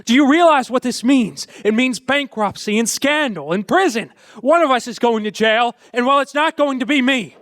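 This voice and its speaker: A harried family man of a classic radio play. His voice sits high and very dry, and he stammers through his words, frustrated but tender underneath. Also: expressive, moderate pace, hardly any pauses, fairly bright tone, dry recording. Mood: panicked, angry. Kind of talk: old-time radio drama acting.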